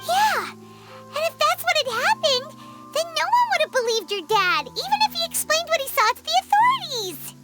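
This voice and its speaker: A woman voicing a small childlike female fairy companion in a video game, squeaky, perky, curious and naive, with exclamatory delivery. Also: sing-song, moderate pace, loud music behind the voice. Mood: curious, reasoning. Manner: speaks of herself in the third person, exclamatory. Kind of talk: video game cutscene acting.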